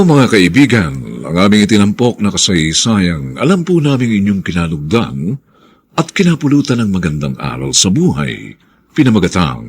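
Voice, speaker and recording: A man with a husky voice and Filipino accent, a radio drama host announcing formally with theatrical, drawn-out emphasis. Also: on the low side. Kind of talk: formal closing announcement, reading credits.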